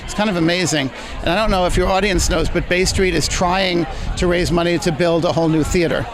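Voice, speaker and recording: Matter-of-fact man, higher voice, crowd behind. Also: steady tone, a little fast. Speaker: theater supporter, guest. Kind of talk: red-carpet interview. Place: outdoors at an evening gala. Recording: loud crowd chatter behind.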